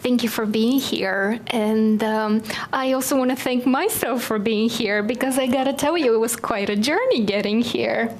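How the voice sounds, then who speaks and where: A woman's voice: fairly high pitch, normal speed, slight background noise. A woman from Bulgaria giving a chatty, self-deprecating talk to a live audience, with many fillers. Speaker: speaker.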